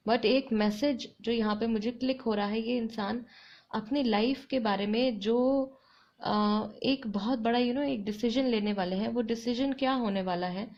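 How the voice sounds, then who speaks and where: A woman with an Indian accent giving a tarot reading to her viewers, her throat a little hoarse, speaking in an unhurried, reflective way and repeating phrases for emphasis. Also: on the high side, a little mellow, dull audio. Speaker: tarot reader.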